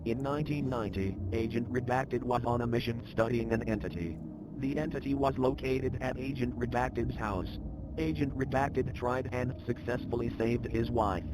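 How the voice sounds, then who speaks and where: Man voicing stern training-tape narrator over clear noise. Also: mid-range voice, normal speed, natural voice. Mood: stern, impersonal. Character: stern, impersonal male narrator of a secret agency training tape. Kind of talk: scripted instructional narration. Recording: clearly noisy game audio with ambience.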